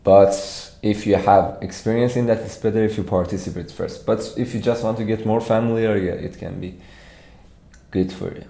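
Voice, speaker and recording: A man from Iran with a Persian accent, giving an informal livestreamed walkthrough as a programming instructor, thinking aloud haltingly. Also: fairly low pitch, fairly even intonation, vocal fry, dark tone. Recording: echoey room.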